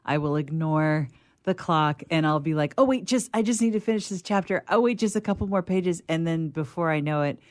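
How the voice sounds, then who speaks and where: A woman's voice: deep voice, brisk, a little mellow. A woman chatting casually in a discussion, telling small personal anecdotes in a self-teasing way. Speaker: discussion participant.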